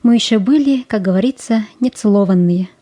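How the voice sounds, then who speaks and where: A woman's voice: mid-range voice, a little fast, mellow, lightly acted. A woman acting a dramatic audio-play monologue as a romantic Soviet school-leaver, nostalgic and earnest. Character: dreamy romantic Soviet school-leaver girl recalling summer 1941.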